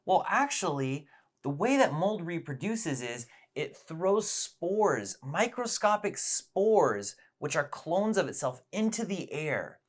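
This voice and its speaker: Man teaching science with very lively intonation. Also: on the high side, takes it slowly. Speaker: science teacher presenting story time. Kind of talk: educational storytelling explanation.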